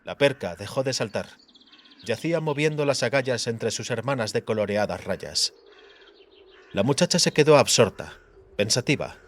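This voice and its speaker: A man's voice: medium pitch, animated, moderate pace. A man reading scripted fantasy narration as an audiobook narrator.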